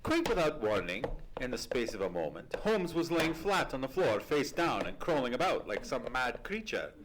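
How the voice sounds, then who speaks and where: A man playing an earnest, easily astonished gentleman sidekick who narrates an old-time radio play in a formal storytelling manner. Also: mid-range voice, very animated, normal speed, noisy background, lightly acted. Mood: measured storytelling. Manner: formal storytelling, exclaiming in surprise. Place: live theatre stage performance.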